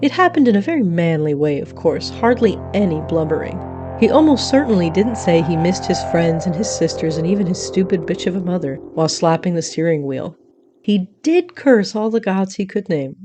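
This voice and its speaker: A woman's voice: on the low side, sing-song, normal speed, over music. A woman playing a wry, grandiose otherworldly radio host, narrating an audio drama in an arch, florid, dramatic way. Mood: wry storytelling.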